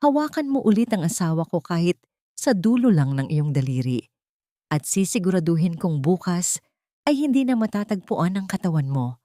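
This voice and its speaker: A woman voicing a cold, powerful tycoon husband who makes calm, commanding threats in an audio drama. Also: on the low side, normal speed, no echo, clean studio sound. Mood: calm menace.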